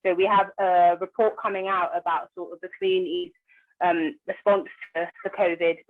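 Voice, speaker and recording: Phone-like, lowish-voiced woman in discussion. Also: little pitch movement, normal speed, dry recording. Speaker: community campaigner, radio guest. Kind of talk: informal radio discussion. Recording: tinny phone-like connection.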